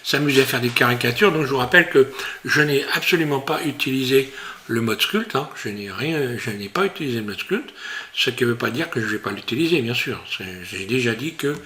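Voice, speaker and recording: A man teaching a software tutorial while he works on screen, talking in a hesitant, casual way full of fillers and restarts. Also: mid-range voice, animated, fairly quick. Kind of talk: screen-recorded tutorial narration.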